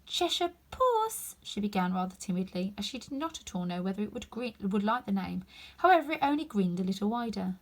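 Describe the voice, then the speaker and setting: A woman reading a children's story aloud as narrator, in an expressive, emphatic way. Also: mid-range voice, fast. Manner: animated, emphatic. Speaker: storybook reader.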